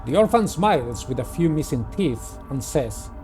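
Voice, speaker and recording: A man with a somewhat high voice hosts a playthrough of a story game. He reads the narration aloud and chats casually about it, with music playing behind him. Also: very animated, normal speed, dark tone. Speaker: video game player and host. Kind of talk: reading a visual novel aloud with casual commentary. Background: background music.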